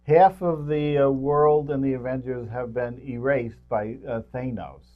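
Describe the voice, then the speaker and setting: A man co-hosting a movie show with casual, somewhat halting talk, feeling for his words. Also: mid-range voice, steady tone. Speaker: co-host of a movie review show. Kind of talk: casual unscripted movie chat. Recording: slightly dull, lo-fi sound.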